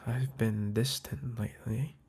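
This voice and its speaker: A man with a husky, breathy voice speaking softly and hesitantly into a close mic, whispering a tender apology as a boyfriend to the listener. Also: mid-range voice, fairly even intonation, a little slow. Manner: soft, hesitant, tender, with sighs and restarts. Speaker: boyfriend in a roleplay audio. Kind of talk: intimate apology roleplay to the listener, ASMR-like.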